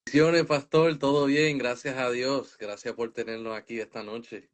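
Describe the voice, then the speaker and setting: A man's voice: mid-range voice, normal speed. A young man from northern New Jersey, a pastor and worship leader, answering interview questions casually and dropping in English fillers. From northern New Jersey, USA. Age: young adult. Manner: relaxed, mixes in English fillers.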